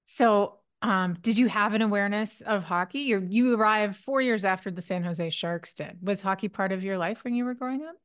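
A woman's voice: mid-range voice, moderate pace, few pauses, clear, resonant tone, no echo, clean studio sound. A woman hosting a podcast interview, chatty and enthusiastic. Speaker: podcast host. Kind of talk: casual interview.

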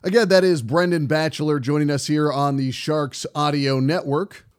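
A man with a fairly high voice speaks as a sports radio host. He talks conversationally and emphatically, building his points through repeated phrases and rhetorical questions. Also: fairly even intonation, normal speed, smooth.

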